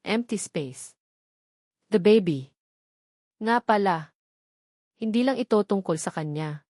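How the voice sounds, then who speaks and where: A woman storyteller narrates a romantic audio drama in scripted, dramatic style. Her medium-pitched voice sits in a dry recording with no room sound. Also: a little mellow, no room sound.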